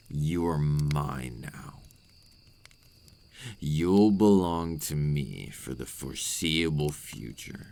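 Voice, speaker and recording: A man's voice: rumbling low, vocal fry, darkish tone. A man playing a possessive, remorseful vampire captor in an intimate ASMR roleplay monologue, speaking in formal, old-fashioned phrases.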